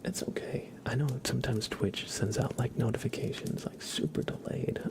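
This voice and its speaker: A man hosting a casual ASMR livestream, chatting in a breathy, gentle, unhurried whisper and softly repeating phrases. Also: mid-range voice. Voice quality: breathy whisper with creaky edges. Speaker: live streamer, ASMR host.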